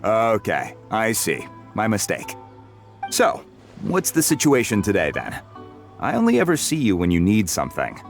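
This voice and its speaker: An actor playing a genial, gruff merchant in a video game, talking in a wry, businesslike way. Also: male voice, fairly low pitch, huge pitch swings, moderate pace. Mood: teasing, curious. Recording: game music behind the voice. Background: game music.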